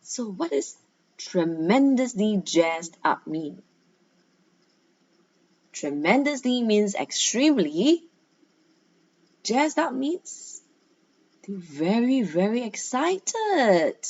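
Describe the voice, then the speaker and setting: Female teacher for guided reading lesson: very slow pace, instructive questioning, emphatic key words, slightly muffled lo-fi recording. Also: on the high side, sing-song, darkish tone, husky. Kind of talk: guided read-aloud lesson with questions and explanations. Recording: dull, lo-fi sound.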